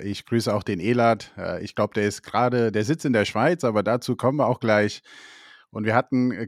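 A man's voice: lowish voice, no echo, clean studio sound. A man co-hosting an investing podcast, talking casually with many fillers and reading a passage aloud. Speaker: co-host. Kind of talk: podcast chat.

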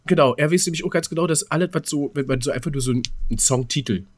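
A man's voice: a little high, racing. A man co-hosting a podcast in casual, colloquial chat, repeating words as he thinks aloud. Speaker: podcast co-host.

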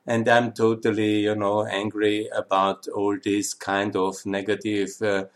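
Low-voiced Austrian man, halting English. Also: monotone. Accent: Austrian German. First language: German. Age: 50s. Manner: earnest, many uhs and ums, non-native phrasing. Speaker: podcast host. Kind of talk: solo podcast introduction.

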